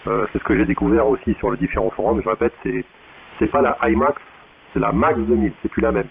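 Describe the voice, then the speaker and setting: Gravelly man over a thin radio link. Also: on the low side, steady tone, fast. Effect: two-way radio transmission. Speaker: amateur radio operator. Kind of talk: casual technical radio chat. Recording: thin phone-like radio sound, faint hiss.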